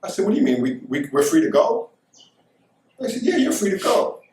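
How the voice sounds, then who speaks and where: A man's voice: sits high, very animated, fairly quick, darkish tone, slight background noise. Echoey middle-aged American man telling stories. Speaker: storyteller. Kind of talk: casual first-person anecdote telling. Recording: echoey room, distant microphone.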